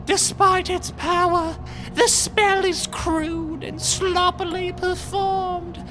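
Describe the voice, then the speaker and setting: Very high, slow, dying little villain. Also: male voice, little pitch movement, over music. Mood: weak, melodramatic. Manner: florid, melodramatic, insulting. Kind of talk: comedic fantasy audio drama acting.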